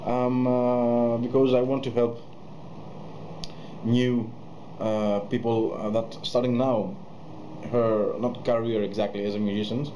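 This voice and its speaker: A man in his thirties, a musician hosting his own channel, talks casually to camera in a small room at night, pausing often with fillers and restarts. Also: mid-range voice, level tone, warm tone, muffled recording, a little hiss or hum. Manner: hesitant, many fillers and restarts. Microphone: webcam close to face.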